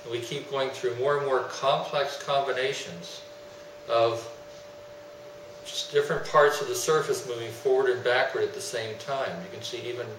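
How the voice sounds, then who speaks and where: A man giving an explanatory technical presentation in a deliberate, didactic way, stressing his key terms. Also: mid-range voice, fairly even intonation, a bit bright. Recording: roomy, distant mic, faint hum.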